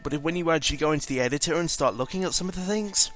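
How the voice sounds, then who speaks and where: A man's voice: a little high, very fast, fairly bright tone, soft background music. A man giving casual, rambling game commentary, informal and sweary, often correcting himself as he goes. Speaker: gaming video commentator.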